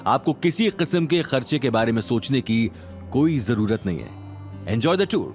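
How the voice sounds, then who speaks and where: Male narrator as a proud train captain, authoritative and formal, animated mid-range voice, audio drama. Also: medium pitch, measured pace, faint music behind. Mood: courteous. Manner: formal, authoritative, English phrases mixed in. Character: proud, indignant male train captain, stern but deferential.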